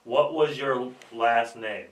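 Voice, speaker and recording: A man speaking slowly in clip audio. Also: medium pitch. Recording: roomy, distant, faint ambience.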